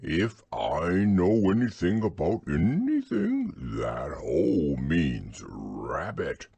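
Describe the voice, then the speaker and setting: A man voicing a slow, simple bear who loves food, speaking in a drawn-out, pondering way. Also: sits low, wildly swooping pitch, vocal fry, mellow, husky, dry recording, full character voice. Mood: puzzled.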